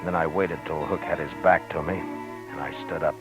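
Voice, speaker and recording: An actor playing a steady, commanding male frontier marshal who also narrates, in a 1950s radio drama, calm and authoritative. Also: very deep voice, normal speed, poor audio. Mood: narrating. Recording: old radio broadcast with music bed.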